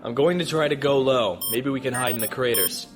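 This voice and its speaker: An actor playing a calm, determined spaceship pilot in a science fiction audio drama, speaking in clipped commands. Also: male voice, medium pitch, fairly quick, music in the background, close to an everyday voice. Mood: tense command. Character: calm, determined male spaceship pilot, steady under fire.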